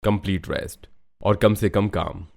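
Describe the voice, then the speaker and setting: A man playing a calm, serious, matter-of-fact doctor in a family story cartoon. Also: low-pitched, unhurried, creaky, pitched below the performer's own voice. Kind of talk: Hindi family moral-story cartoon acting.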